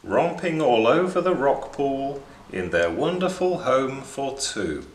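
Male storybook reader, thirties, expressive and unhurried, wide melodic swings, roomy sound. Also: medium pitch, slight background noise. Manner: measured, dramatic delivery for young listeners. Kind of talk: expressive read-aloud narration of a rhyming picture book. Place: small indoor room beside bookshelves. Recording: roomy indoor echo.

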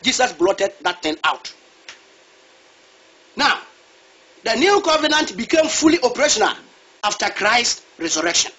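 Male preacher, very high and lively delivery, dull lo-fi recording. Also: moderate pace, pauses often, husky. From Ghana. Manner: switches between Twi and English, asks a reader to read verses. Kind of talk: sermon.

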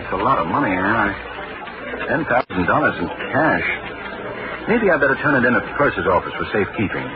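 Reassuring young husband, lively lilt, hissy muffled radio. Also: male voice, on the low side, brisk. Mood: calm. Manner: teasing, soothing. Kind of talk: 1940s radio acting.

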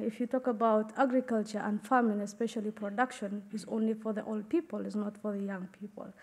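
A woman in her thirties from Kenya, giving an earnest, measured talk at a conference podium. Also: a little high, darkish tone. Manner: earnest, measured, with fillers and gestures. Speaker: conference speaker. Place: conference stage, indoors. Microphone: podium microphone.

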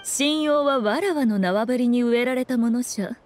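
A woman voicing a sly, regal fox shrine maiden in an anime game, with measured, knowing, old-fashioned diction. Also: a little high, darkish tone, natural voice. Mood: playful, teasing. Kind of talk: Japanese anime game voice acting.

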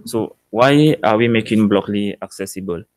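A young man with a Cameroonian accent giving a technical conference presentation in a measured, explanatory way. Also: lowish voice, darkish tone, no room sound. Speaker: PhD student presenting research. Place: indoor presentation room.

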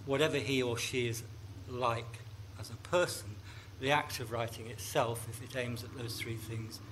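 A man, a writer on a panel, answering carefully and deliberately, slowing down to stress key phrases. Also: medium pitch, steady tone, fairly bright tone, slight background noise, poor audio. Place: festival stage before a live audience.

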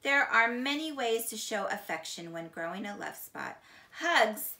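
Bright-voiced woman reading slowly to children. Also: a little high. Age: middle-aged. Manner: slow, deliberate, expressive storytelling. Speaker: teacher reading aloud. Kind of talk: children's picture book read-aloud. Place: small indoor room, seated on couch. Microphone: camera a few feet away. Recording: echoey room.

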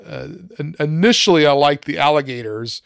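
A man with a mid-pitched, gravelly voice shares hesitant film opinions on a podcast, filling pauses with ums. The sound is dry and close. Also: no room sound. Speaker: podcast panelist. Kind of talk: casual film review chat.

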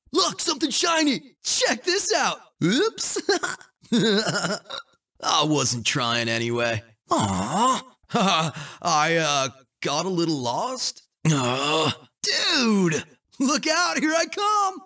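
Casting: a cocky young cheetah racer in a cartoon game, goofy surfer-dude delivery, very animated and bright. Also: male voice, high voice, drawn-out words, exaggerated voice. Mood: laid-back, sheepish. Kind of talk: exaggerated cartoon game voice clips.

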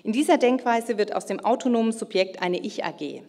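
A middle-aged woman gives a formal keynote lecture with measured, emphatic phrasing. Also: a little high, darkish tone. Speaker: keynote speaker. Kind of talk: formal conference lecture, partly read from notes. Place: large indoor auditorium stage at a lectern. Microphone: gooseneck lectern microphone. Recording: reverberant hall sound.

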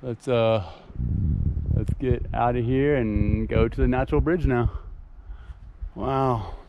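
A man's voice: medium pitch, fairly even intonation, slow pace, highs cut off. A man talking casually to himself in short, exclamatory bursts as he hikes and films outdoors. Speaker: hiking vlogger. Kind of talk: casual off-the-cuff trail narration. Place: outdoors on foot in a rocky wooded canyon. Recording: outdoor recording with clear handling and nature noise.

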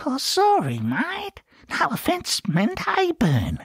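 A man voicing a whining, chummy little man in a storybook, with a falsetto twang mixing Essex and Australian, straining higher toward sentence ends. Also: wildly swooping pitch, takes it slowly, fairly bright tone, no room sound, clean studio sound, full character voice. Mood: apologetic, whining. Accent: mix of Essex and Australian. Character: whining, annoyingly cheerful little man, a younger brother.

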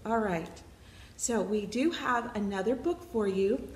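A middle-aged woman with a slightly husky voice, reading picture books aloud expressively for young children as a library storytime reader. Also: mid-range voice, expressive, measured pace, a little hiss or hum.

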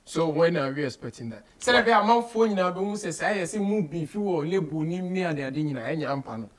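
Ghanaian man hosting, higher-pitched voice. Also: lively intonation, fast. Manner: mixes English with local slang and phrases. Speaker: radio show host, interviewer. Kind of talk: casual studio interview. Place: small radio studio office, indoors.

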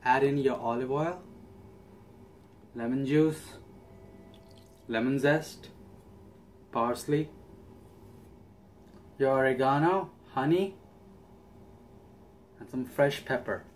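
A man's voice: mid-range voice, takes it slowly, pauses often, slight background noise. A young man hosting a cooking channel, walking through a recipe step by step in a friendly, upbeat way in a small home kitchen. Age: young adult.

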